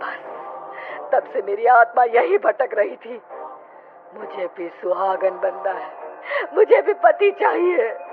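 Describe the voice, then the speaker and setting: A woman's voice: on the high side, lively intonation, slow pace, pauses often, pitched above the performer's own voice. A woman voicing a vengeful, menacing female ghost bride in a dramatic horror cartoon style. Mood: anguished, bitter. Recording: muffled audio with loud music bed.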